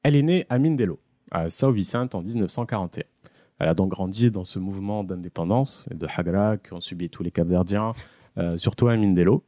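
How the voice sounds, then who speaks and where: A man's voice: sits low, fairly quick, mellow, no room sound, studio quality. A man hosting a casual podcast, telling a history story with joking asides and frequent hesitations. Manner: joking, trails off with many hesitations. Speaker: podcast host presenting a history segment.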